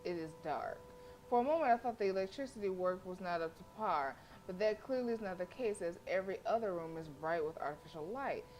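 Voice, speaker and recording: A woman's voice: fairly low pitch, lively intonation, a little fast, a little mellow, poor audio. A woman livestreaming a visual novel, reading it aloud with playful, slangy asides. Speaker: video game streamer. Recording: music playing behind the voice. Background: game music.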